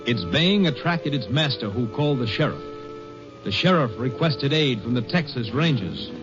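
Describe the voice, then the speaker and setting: Casting: man as matter-of-fact American crime narrator, medium pitch; muffled vintage radio with music behind. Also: animated, normal speed, close to an everyday voice. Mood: sober. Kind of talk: old-time radio drama, tough dialogue and scripted narration.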